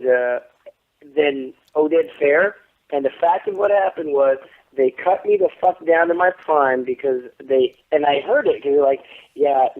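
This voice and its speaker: A man in his thirties, an actor giving an interview, telling stories with lots of swearing and boasting, acting out what others said. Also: fairly high pitch. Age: 30s. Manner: profane, boastful, animated storytelling with quoted voices. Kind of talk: casual phone interview. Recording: tinny phone line.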